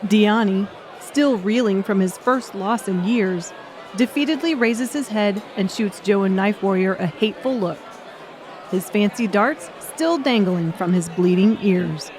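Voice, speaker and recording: A woman's voice: medium pitch, measured pace, darkish tone. A woman reading scripted narration of a comic novel as an audiobook narrator. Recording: music and crowd noise behind. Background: music and bar crowd sounds.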